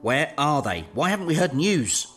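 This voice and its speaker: An actor playing a suspicious, bitter company director in a sci-fi audio drama, speaking accusingly. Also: male voice, a little high, very animated, moderate pace, fairly bright tone, faint music behind.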